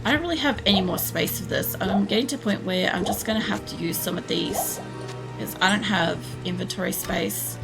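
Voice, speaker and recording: A young woman with a hoarse, worn voice, chatting casually and rambling while she streams a game at home, swearing now and then. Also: medium pitch, fairly quick, rough recording. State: hoarse, losing her voice. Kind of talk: casual chat while playing. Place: home room. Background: game music.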